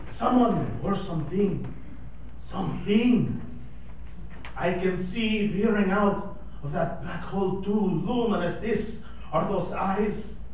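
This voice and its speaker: A man acting a frightened radio reporter giving a halting, breathless eyewitness account in an old-time radio drama performed on stage. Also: high voice. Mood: awed, tense. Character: frightened radio reporter witnessing an alien emerge. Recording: very echoey theatre stage, distant, faint noise.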